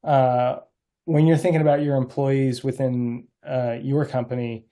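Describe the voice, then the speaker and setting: A man's voice: mid-range voice, little pitch movement, a little slow, dark tone. A man hosting an interview over a video call, asking friendly, probing questions. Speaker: interviewer, host.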